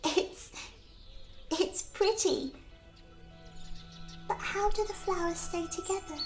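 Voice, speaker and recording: A woman voicing a small, curious girl full of slow, childlike wonder in an audio drama. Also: very high voice, music in the background, heavily acted. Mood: gentle wonder.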